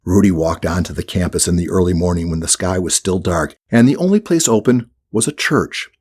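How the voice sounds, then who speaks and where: A man's voice: low-pitched, brisk, a little mellow, slightly rough. A man reading a scripted children's audiobook as the narrator.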